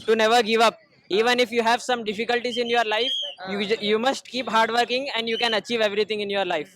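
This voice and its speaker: A man's voice: very high voice, level tone, racing, a bit bright, no room sound, poor audio. A man who grew up speaking Urdu, answering a reporter earnestly in English. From Karnataka. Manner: earnest, emphatic praise. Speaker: interviewed fan.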